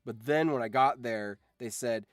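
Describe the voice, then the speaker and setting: A man's voice: mid-range voice, normal speed, dry recording, studio quality. A man chatting casually on a livestream, telling anecdotes in an informal, sweary, enthusiastic fan's way. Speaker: streamer and voice actor.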